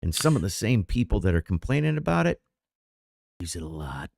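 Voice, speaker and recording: A middle-aged man co-hosting a podcast, talking in a loose, rambling way and often restarting his sentences. His pitch swoops all over the place with a lively bounce. The sound is dry and close, with no room echo. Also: a little fast, gravelly. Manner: rambling, animated, frequent restarts. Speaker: podcast co-host. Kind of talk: casual chat.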